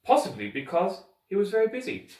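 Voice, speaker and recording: A man voicing a stiff, busy middle-aged banker in a children's storybook, formal and brisk in his explanations. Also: high-pitched, wildly swooping pitch, darkish tone, voice pushed up. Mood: explanatory. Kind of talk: children's storybook character reading. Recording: roomy echo, distant microphone.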